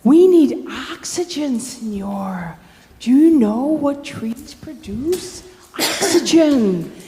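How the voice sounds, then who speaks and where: A woman voicing an earnest macaw with deliberate, questioning delivery, in live storytelling. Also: high voice, animated, mellow, exaggerated voice. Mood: solemn appeal. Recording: echoey hall, faint background noise.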